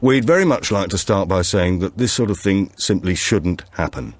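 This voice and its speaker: A man reading as a placating police inspector with a stiff, official, smoothing manner. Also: low voice, lively intonation, normal speed, no room sound.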